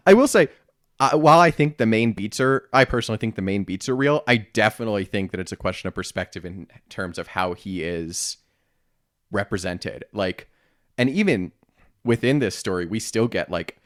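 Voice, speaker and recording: A man co-hosting a podcast, explaining his points in a halting, self-correcting, casually analytical way. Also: lowish voice, no echo, clean studio sound. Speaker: podcast co-host.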